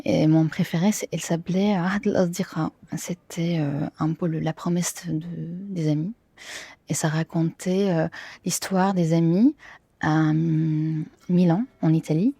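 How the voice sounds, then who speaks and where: Mellow young Syrian woman, low-pitched. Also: fairly even intonation, unhurried, breathy edge, no echo. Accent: Syrian Arabic. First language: Arabic. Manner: hesitant, searching for words. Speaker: interviewee, theatre performer and film worker. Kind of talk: casual interview answers.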